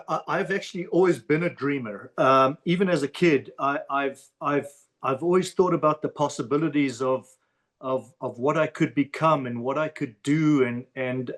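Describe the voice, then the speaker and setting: South African man, halting and repetitive. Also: a little high. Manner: halting, repeats words as he starts a thought. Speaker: podcast guest. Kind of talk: casual interview talk.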